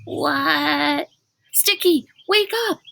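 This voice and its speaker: A woman's voice: high voice, drawn-out words, creaky, bright, forceful tone, pitched above the performer's own voice, exaggerated voice. A woman voicing an anxious, earnest boy in a children's storybook read aloud. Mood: excited, urgent.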